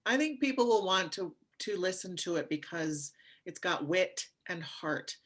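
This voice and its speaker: A woman giving casual, thoughtful comments in a measured way, searching for her words. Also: lowish voice, animated. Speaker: actor in an audio drama.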